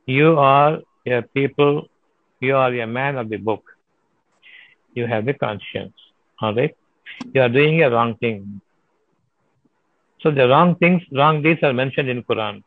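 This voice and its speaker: A man's voice: medium pitch, measured pace, long pauses, dry recording. A man answering audience questions about prayer, emphatic and given to repeating himself and asking rhetorical questions. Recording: tinny phone-like dry sound.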